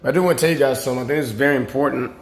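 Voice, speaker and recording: A man with a raspy, hoarse voice, a fitness coach talking casually and earnestly to his viewers, often checking in with "right?" and "okay?". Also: medium pitch, racing. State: hoarse after boot camp. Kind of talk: casual talk to camera. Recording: roomy recording.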